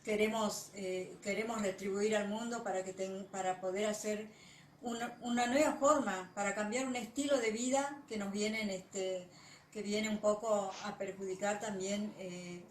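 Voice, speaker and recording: A woman's voice: medium pitch, fairly even intonation. Earnest Argentine woman, distant echoey sound. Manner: earnest, halting with restarts. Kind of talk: testimonial appeal. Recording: echoey and distant with faint noise.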